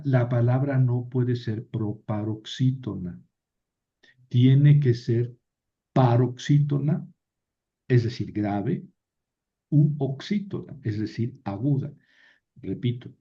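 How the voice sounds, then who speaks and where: A man teaching a recorded grammar lesson, explaining step by step and answering his own questions. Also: mid-range voice. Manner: patient, explains step by step and repeats key rules. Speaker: Greek teacher. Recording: dull lo-fi sound.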